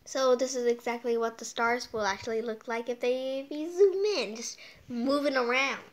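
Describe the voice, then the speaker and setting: A woman's voice: fairly high pitch, normal speed. A woman hosting a casual storytime read-aloud, drifting off into playful, whimsical asides as she goes.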